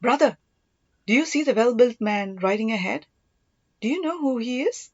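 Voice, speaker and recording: A spirited young princess from a storybook, played by a woman reader, full of quick questions and exclamations. Her pitch moves in lively swings, in a dry, slightly dull recording. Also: dry recording. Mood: curious. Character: gentle young Pallava princess, glum yet spirited sister.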